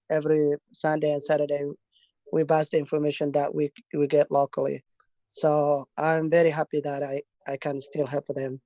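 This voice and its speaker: An interpreter and community organizer giving halting public comment over a video call, often searching for words. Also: mid-range voice, a little mellow, dry recording. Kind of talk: public comment at a civic meeting. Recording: dry, dull video call audio.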